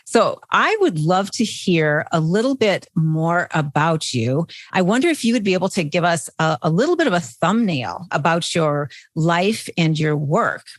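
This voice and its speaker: A woman's voice: lowish voice, lively intonation, normal speed, hardly any pauses. A woman hosting a podcast, reading scripted introductions and putting questions to a guest. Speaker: podcast host.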